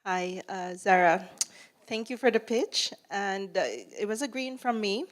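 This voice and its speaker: A woman with a Filipino accent sits on a jury and gives a contestant spoken feedback. She sounds friendly and relaxed, pauses on many small "uh"s, and her voice sits at a middle pitch. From Philippines. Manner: friendly, laughs easily, many uh fillers. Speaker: jury member.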